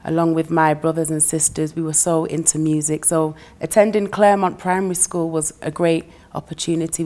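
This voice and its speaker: Chatty Manchester woman, lowish voice. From England. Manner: warm, chatty, laughs between phrases, uses "you know" a lot. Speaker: guest musician. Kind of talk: relaxed interview chat.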